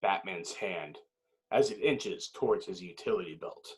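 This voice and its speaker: A man's voice: sits low, lively intonation, moderate pace, poor audio. A man narrating a screenplay's action with intense, clipped dramatic phrasing. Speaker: narrator reading stage directions.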